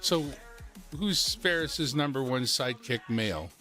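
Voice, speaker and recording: Guest reader: man with an average-pitched conversational voice, music clearly audible behind him. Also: animated, a little slow. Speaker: volunteer reader joining a livestream. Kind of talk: casual chat.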